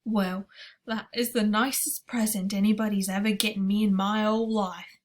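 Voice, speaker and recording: A gentle old giant in a storybook, as a woman reads him aloud. He speaks slowly and loudly, stretching out each word of his muddled, mangled grammar. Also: steady tone, natural voice. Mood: delighted. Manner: slow, booming, mangled words.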